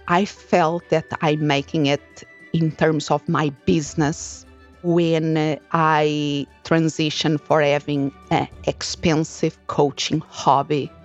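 A woman's voice: sits low, highs cut off. A woman with a Portuguese accent, a podcast host and life coach telling her own story in an earnest, reflective manner, as a native Portuguese speaker. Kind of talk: personal story told for a podcast.

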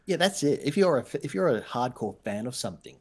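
Teasing husky man, very lively pitch. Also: fast. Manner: playful, teasing, laughs often. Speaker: podcast co-host. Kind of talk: casual chat.

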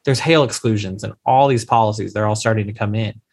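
A man's voice: on the low side, fast talker, creaky, darkish tone. Emphatic man explaining insurance advice. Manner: advisory, emphatic, explains step by step. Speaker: insurance claims lawyer. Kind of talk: podcast interview answer.

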